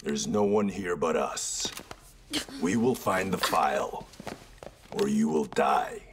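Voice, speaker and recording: Very deep, slow masked gunman. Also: background noise. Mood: cold menace. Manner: slow, threatening delivery. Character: menacing masked gunman leading a heist, coldly impatient. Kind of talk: video game acting.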